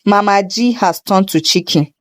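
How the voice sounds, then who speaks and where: A woman's voice: medium pitch, normal speed, lightly acted. A woman with a Nigerian accent voicing a gawking, exclaiming village gossip in a folktale. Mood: amazed. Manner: exclaiming, pointing out in Pidgin.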